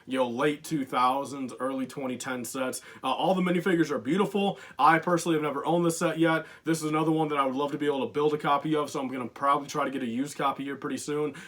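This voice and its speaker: Fast-talking young man, rambling and eager. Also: fairly high pitch, a bit bright. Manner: rambling, lots of fillers, enthusiastic. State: losing his voice. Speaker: LEGO collector YouTuber. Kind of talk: casual countdown vlog.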